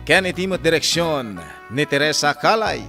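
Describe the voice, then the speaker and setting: A man's voice: a little high, animated, vocal fry, sharp tone, soft background music. A man with a northern Philippine accent, announcing a radio drama and its credits in a declamatory manner. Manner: slow, declamatory.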